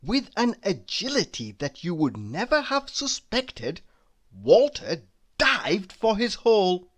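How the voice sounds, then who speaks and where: A woman reading a children's story aloud, deliberately and with dramatic emphasis. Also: medium pitch. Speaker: storybook reader. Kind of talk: expressive children's read-aloud narration.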